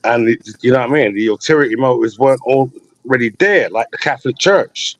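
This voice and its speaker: A man's voice: medium pitch, brisk, no echo. A man talking casually as a guest on an online call, rambling and leaning on "you know what I mean.". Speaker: guest on a call-in talk show. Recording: muffled lo-fi call audio.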